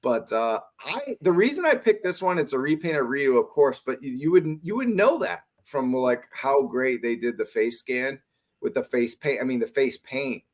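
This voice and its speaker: A man's voice: medium pitch, expressive, moderate pace. A man hosting a casual talk show, teasing his co-hosts and often trailing off mid-thought. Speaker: podcast host. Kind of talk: casual chat.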